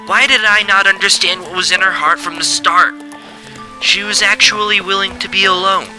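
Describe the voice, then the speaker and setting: Man acting a brash, bright-voiced hot-headed teenage boy. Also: sits high, steady tone, moderate pace, soft background music, rough recording. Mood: regretful, earnest. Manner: brash, combative. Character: hot-headed teenage boy, loud, quick to anger, secretly soft-hearted. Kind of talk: amateur anime-style radio drama acting.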